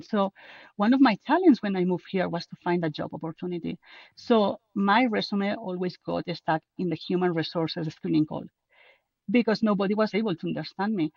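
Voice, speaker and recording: A woman's voice: medium pitch, a little fast, dry recording, muffled recording. A woman from Spain with a strong Spanish accent, speaking English as a second language, passionate and casual as an interview guest. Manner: passionate, with restarts and non-native grammar. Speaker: guest, co-author.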